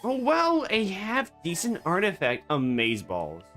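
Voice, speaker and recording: A man streaming a game, rambling and joking in absurd deadpan banter. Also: high voice, a little slow, faint music behind. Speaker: VTuber streamer. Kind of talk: casual gaming stream chatter.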